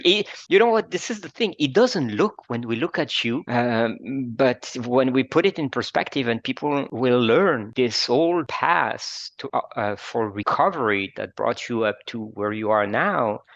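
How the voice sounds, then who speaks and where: Fast-talking man, muffled sound. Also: mid-range voice, a bit bright, dry recording. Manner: fast, halting with many fillers and restarts. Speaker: podcast host. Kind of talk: conversational interview.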